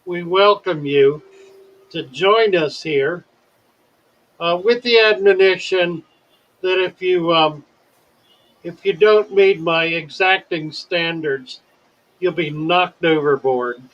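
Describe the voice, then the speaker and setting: An older man hosting a casual livestream chat, talking in a slow, wordy and deliberate way and telling stories. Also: on the high side, lo-fi sound. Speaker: livestream host.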